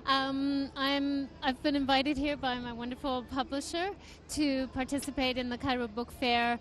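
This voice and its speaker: A woman from the United States answers interview questions about her work, eager and a little halting. Her voice sits high in pitch, and her talk is full of small fillers and restarts. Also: flat delivery. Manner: enthusiastic, hesitant with fillers. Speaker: guest author. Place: book fair venue.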